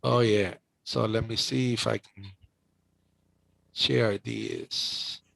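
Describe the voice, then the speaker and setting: A man's voice: lowish voice, steady tone, slow, gravelly, fairly bright tone. A middle-aged man with a Cuban accent hosting a casual chat, talking emotionally with many restarts. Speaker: host.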